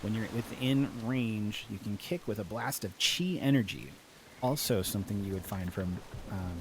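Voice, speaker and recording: A man's voice: on the low side, noisy background. A man with a sniffly voice from allergies, chatting casually as he plays a game and reads the quest text aloud. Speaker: podcast host playing a game. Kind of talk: casual gameplay commentary. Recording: voice over game music. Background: game music.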